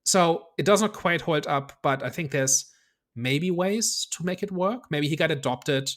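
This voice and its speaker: A man's voice: on the high side, measured pace, a bit bright. A man hosting a casual movie review podcast, talking things through analytically and hedging as he reasons aloud. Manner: analytical, thinks aloud with hedges. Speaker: podcast host.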